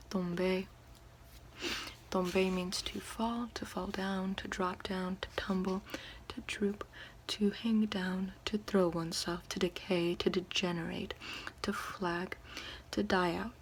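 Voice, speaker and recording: A woman doing ASMR in a soft, breathy whisper, sounding out words one at a time. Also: mid-range voice, slight background noise. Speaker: ASMR creator reading a French dictionary. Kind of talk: ASMR whispered word-by-word reading.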